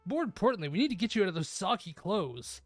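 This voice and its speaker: Woman reading aloud with lively intonation. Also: medium pitch, fast talker, no echo, faint music behind. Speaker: streamer reading a visual novel aloud. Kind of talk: expressive read-aloud narration with casual chat.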